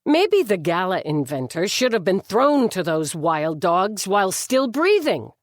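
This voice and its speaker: A woman narrates a novel with wry delivery. Her mid-pitched voice moves expressively through the lines at a measured pace, recorded in a very dry space. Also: very animated, no room sound. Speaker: audiobook narrator. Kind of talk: scripted fiction narration.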